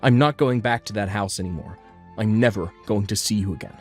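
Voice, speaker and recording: A man's voice: lowish voice, brisk, lightly acted. A man voicing an earnest, protective young husband in a dubbed comic, speaking with emotion. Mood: angry, firm. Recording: music behind the voice.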